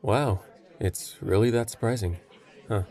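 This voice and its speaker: A man's voice: fairly low pitch, a little slow, dark tone. A young man playing a blunt, dry hospital patient in an audio drama, speaking curtly. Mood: dry, teasing. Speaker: male lead of an audio drama.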